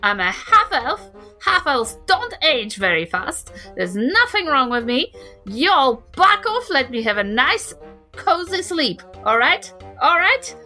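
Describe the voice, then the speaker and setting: Feisty elderly half-elf played by a woman, New Zealand accent, bossy and suspicious, swooping pitch, bright edge. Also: sing-song, soft background music, exaggerated voice. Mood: indignant, threatening. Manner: scolding, bossy, drawn-out emphatic repeats.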